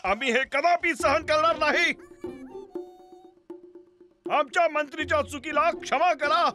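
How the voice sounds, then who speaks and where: A man's voice: very high voice, measured pace, pushed and bright, faint music behind, exaggerated voice. A man voicing a pompous, hot-tempered cartoon fellow who believes he is a king, in grand declamatory speech. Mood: haughty. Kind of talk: exaggerated cartoon dub acting.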